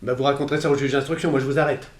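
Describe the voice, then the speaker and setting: Shérif bourru et sarcastique joué par un comédien. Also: male voice, medium pitch, steady tone, very fast, lightly acted. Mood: blunt, accusing. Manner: blunt, sarcastic interrogating. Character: sardonic, suspicious male country sheriff. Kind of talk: 1960s French radio drama acting.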